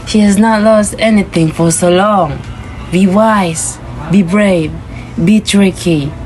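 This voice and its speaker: A woman's voice: mid-range voice, takes it slowly, a little mellow, faint music behind, natural voice. A woman voicing a grave ghost child in a puppet show, with archaic, portentous delivery. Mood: ominous.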